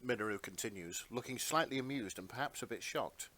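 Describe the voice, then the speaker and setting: A man's voice: mid-range voice, brisk, bright, forceful tone. A man narrating fan fiction in a dramatic reading style.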